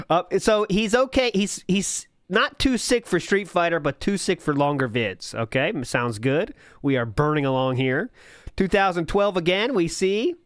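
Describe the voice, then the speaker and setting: A man's voice: high voice, lively intonation, measured pace, fairly bright tone, no echo, clean studio sound. A man hosting a podcast, giving sarcastic, mocking commentary on old posts and live chat and laughing often. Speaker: podcast host.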